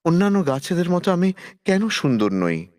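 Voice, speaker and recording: A man's voice: high voice, very animated, normal speed, a little mellow. A man voicing a humble, pious crooked tree in a cartoon fable. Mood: sorrowful, lamenting.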